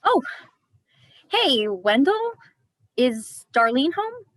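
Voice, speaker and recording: A woman plays a nervous, polite young visitor in a horror drama. Her highish voice rises and falls a lot as she speaks haltingly, in a dry recording. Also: sing-song, no echo, heavily acted. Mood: awkward, friendly. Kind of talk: dramatized horror play script reading.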